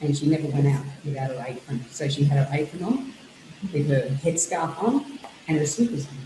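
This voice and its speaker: Soft-spoken author woman, echoey room. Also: deep voice, brisk, background noise. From Australia. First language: Greek. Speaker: author being interviewed. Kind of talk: reflective interview answers.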